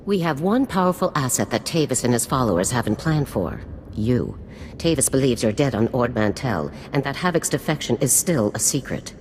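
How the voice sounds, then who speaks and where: A woman's voice: extremely low pitch, very animated, normal speed, darkish tone. An actress playing a stern, commanding female special forces general in a video game, speaking in a formal, authoritative, clipped way. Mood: grave, commanding. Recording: long reverb with faint noise.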